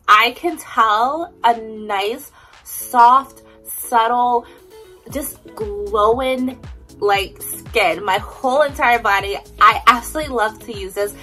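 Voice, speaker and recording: A woman's voice: fairly high pitch, pushed and bright, low-quality recording. A young woman in her twenties talking casually through a shopping haul at home, upbeat and chatty with plenty of ums and likes. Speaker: vlogger. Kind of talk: casual product haul chat. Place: indoors in a home room.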